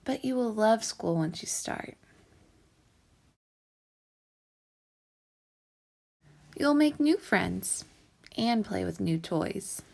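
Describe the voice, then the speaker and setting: A woman voicing a loving, patient mother raccoon in a storybook, speaking in a gentle, soothing way. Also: medium pitch, lively intonation, slow pace, creaky, exaggerated voice. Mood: reassuring. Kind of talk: storybook character voice.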